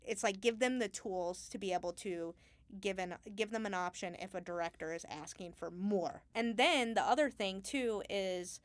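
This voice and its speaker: A woman chats casually about her craft as a Foley artist. Her mid-range voice moves in halting steps as she thinks aloud, and the recording is very dry. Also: medium pitch, no echo. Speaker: Foley artist being interviewed.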